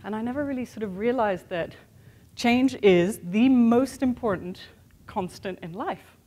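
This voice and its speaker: A woman's voice: medium pitch, a little mellow. Woman speaker with a mixed international accent. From born in the United States, raised in Europe and Asia. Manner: conversational and candid, with many "sort of" and "you know" fillers. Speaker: keynote speaker. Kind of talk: motivational keynote talk. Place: hotel conference room with an audience.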